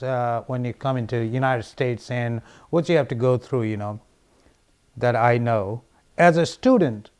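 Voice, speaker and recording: A man's voice: fairly high pitch, darkish tone, smooth. Middle-aged man, Nepali accent, political candidate, earnest and repetitive, plenty of restarts. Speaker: congressional candidate. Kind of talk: interview answers.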